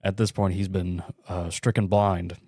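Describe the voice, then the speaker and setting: A man's voice: low-pitched, level tone, a little slow, mellow, dry recording, studio quality. A man speaking casually as a podcast co-host in an informal discussion.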